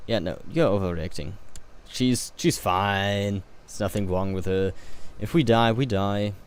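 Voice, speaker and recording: A man's voice: lowish voice, unhurried, clear, resonant tone. A man giving casual gameplay commentary, offhand and joking, who reads game events aloud as he plays. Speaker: let's play host.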